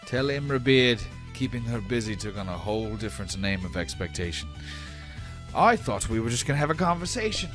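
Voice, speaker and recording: A man voicing an alarmed, indignant male warhammer fighter in a tabletop game, loud and exclaiming. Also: medium pitch, very animated, a little fast, over music, voice pushed up, close to an everyday voice.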